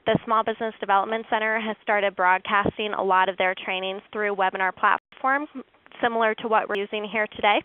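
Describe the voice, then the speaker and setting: A woman hosting a webinar who walks listeners through online resources in a steady, informative way. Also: mid-range voice, fairly quick, smooth. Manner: steady, informative, occasional fillers. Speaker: webinar host. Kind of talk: webinar presentation. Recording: dry tinny phone-like line.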